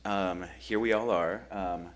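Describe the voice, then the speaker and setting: A man with a deep, low voice leads a grief workshop in a church meeting room. He talks in a loose, conversational way, pausing often with ums as he sets up the session. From Michigan. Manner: relaxed, conversational, many ums. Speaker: grief group facilitator.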